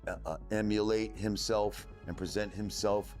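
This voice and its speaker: A man talking casually and bluntly, haltingly, answering his own questions. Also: fairly low pitch, steady tone. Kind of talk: casual spoken commentary. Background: music.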